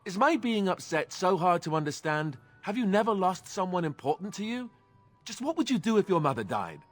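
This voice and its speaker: An actor playing an earnest young warrior companion in a fantasy video game, plain-spoken with a dry, sarcastic edge. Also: male voice, sits high, very animated, a little fast, faint music behind. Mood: hurt, indignant.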